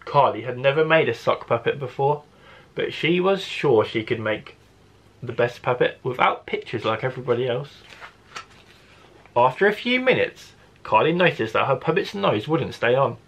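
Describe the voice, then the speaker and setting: A male primary school teacher reads aloud for children. His voice is mid-pitched and a little husky, and he tells the story expressively with careful, deliberate phrasing. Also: big pitch swings, fairly bright tone.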